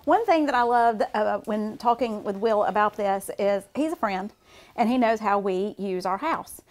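A woman's voice: medium pitch, moderate pace. A woman in her forties chatting casually and enthusiastically as she leads a tour of her home renovation, filmed by a camera a few steps away in a bare-framed basement. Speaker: homeowner and show host. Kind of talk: casual interview chat. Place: gutted basement under renovation, indoors. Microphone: far camera.

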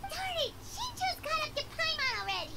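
Tiny game fairy, extremely high, squeaky, childlike, swooping, noisy background. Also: female voice, big pitch swings, normal speed, vocal fry, exaggerated voice. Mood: indignant. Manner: squeaky, childlike, refers to self by name. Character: excitable little floating fairy companion girl. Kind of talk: video game voice acting. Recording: game audio with background noise.

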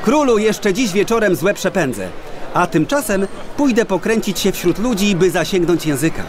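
An eager, confident commoner in a fairy-tale radio play. His voice is high and swooping, full of excitement. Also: normal speed, crowd noise, noisy background. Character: eager, confident commoner volunteering to banish ghosts.

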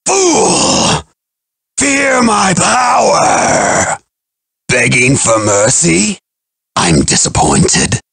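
A soul-hungry undead pirate villain from a fighting game. His voice is gravelly and sharp, swinging wildly in pitch. He delivers every cruel taunt with theatrical menace. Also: big pitch swings, drawn-out words, no room sound, heavily acted. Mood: roaring fury, then cold contempt. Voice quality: harsh, raspy, gravelly.

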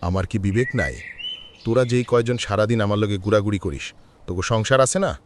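A man's voice: lowish voice, brisk, a little hiss or hum, natural voice. A man with a rural Bangladeshi accent playing a self-serving village politician in a cartoon, colloquial and self-important. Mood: promising. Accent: rural Bangladeshi Bengali. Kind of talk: cartoon voice acting.

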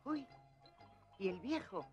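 A woman's voice: mid-range voice, very animated, slow pace. A woman playing a puzzled, curious village woman in a cartoon. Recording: dull soundtrack under loud music.

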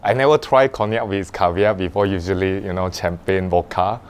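A man in his forties chatting over dinner in a restaurant, relaxed and hesitant, saying "you know" often. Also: sits low, normal speed, a little hiss or hum. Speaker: diner guest. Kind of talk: casual dinner conversation. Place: upscale restaurant dining room.

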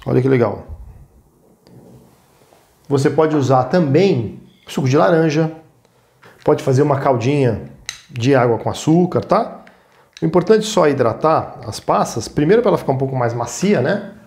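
Male cooking host, Brazilian accent, medium pitch, informal instructional delivery with frequent check-in tags. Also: expressive, moderate pace, long pauses, a little mellow. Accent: Brazilian Portuguese. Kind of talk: casual step-by-step cooking demonstration.

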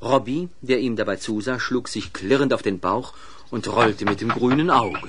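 A man's voice: mid-range voice, normal speed, background noise, natural voice. A man narrating a children's adventure radio play in a scripted storybook style. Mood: descriptive, wry.

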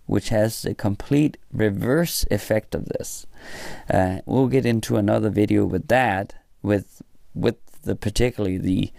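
A man giving an informal hands-on technical explanation in a halting way, often pausing mid-sentence. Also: lowish voice, creaky, mellow, no room sound, studio quality. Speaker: presenter of a hobby electronics tutorial.

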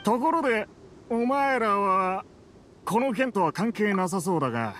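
A man voicing a threatening middle-aged mercenary leader in an anime game, taunting and rough-spoken. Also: sits high, animated, unhurried, a bit bright, slight background noise. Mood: menacing. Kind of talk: Japanese anime game voice acting. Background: background music.

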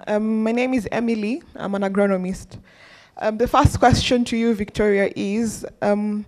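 A woman's voice: mid-range voice, a little mellow. A woman agronomist in the audience politely reading a prepared question to a panel. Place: conference hall audience.

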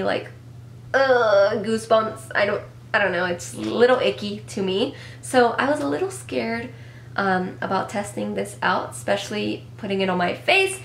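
A woman's voice: medium pitch, creaky. Chatty young woman with a stuffy cold. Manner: chatty, upbeat, rambling with fillers and self-corrections. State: congested from a cold. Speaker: beauty vlogger. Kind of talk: casual product review to camera. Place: small home room with decor shelves. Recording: echoey room with faint hum.

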